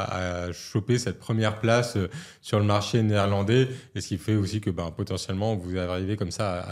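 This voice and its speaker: A man with a low voice who hosts an interview. He asks long questions hesitantly, circling around before he gets to the point. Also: darkish tone.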